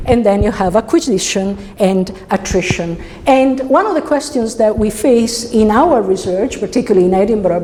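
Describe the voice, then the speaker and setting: Italian lady giving a uni talk, soft-edged mellow voice, big roomy hall, bit of noise. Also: mid-range voice. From Italy. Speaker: university researcher giving a symposium lecture. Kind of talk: academic lecture, conversational and semi-scripted. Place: indoor lecture hall.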